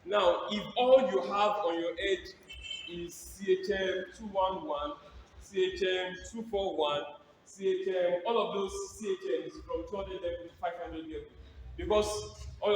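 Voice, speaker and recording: A man's voice: high-pitched, a little hiss or hum. A man giving a lecture to students, asking them questions and repeating his key points. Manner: rhetorical, repeats key phrases, asks the audience questions. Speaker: guest speaker. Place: large lecture theatre. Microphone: hand mic over a PA system. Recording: echoey hall, PA sound from far off.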